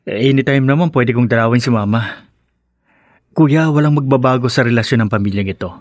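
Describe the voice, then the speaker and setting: A man's voice: medium pitch, brisk, no room sound, studio quality, lightly acted. A man acting in a Filipino radio drama as an earnest young newlywed, a conciliatory son and brother.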